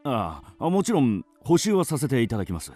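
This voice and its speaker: An actor playing a courteous, guarded police detective in a radio drama, speaking formally and politely. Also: male voice, mid-range voice, huge pitch swings, fairly quick, darkish tone, soft background music.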